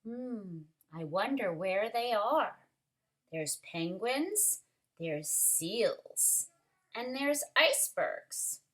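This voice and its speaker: A woman reading a picture book aloud to young children in an expressive, deliberate way, with drawn-out words and little asides to the listeners. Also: mid-range voice. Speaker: storytime reader, teacher.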